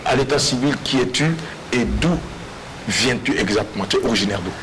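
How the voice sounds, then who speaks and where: A man's voice: medium pitch, a little fast. A man with a Burkinabé accent hosting a TV talk show, introducing and questioning a guest in a playful, theatrical way. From Burkina Faso. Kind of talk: animated guest introduction and interview. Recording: TV studio with audience noise.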